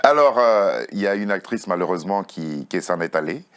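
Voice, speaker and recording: Very deep-voiced talk show host. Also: male voice, lively intonation, clear, resonant tone. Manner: measured, probing questions. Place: TV studio.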